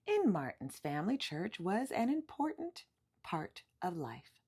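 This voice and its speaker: A woman reading a children's picture book aloud in an animated, emphatic storytelling way, drawing out words for effect. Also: on the low side, unhurried, slightly rough.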